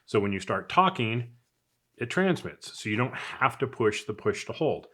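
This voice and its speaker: A man's voice: mid-range voice, big pitch swings, moderate pace, fairly bright tone, husky. A man giving a casual, unscripted product review in a chatty, conversational way, as if thinking aloud. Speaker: product reviewer, channel host.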